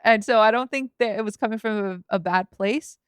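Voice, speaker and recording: A woman's voice: medium pitch, brisk, smooth, no room sound, clean studio sound. A woman from Los Angeles, a rapper guest on a podcast, chatting casually with lots of fillers, restarts and laughs. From Los Angeles, USA. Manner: rambling, filler-heavy, laughs often.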